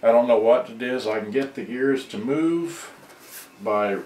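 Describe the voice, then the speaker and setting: A middle-aged man thinking aloud in a halting, rambling way during a casual live repair demonstration. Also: mid-range voice, darkish tone. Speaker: hobbyist electronics repairer on a livestream. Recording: distant mic, echoey room, background noise.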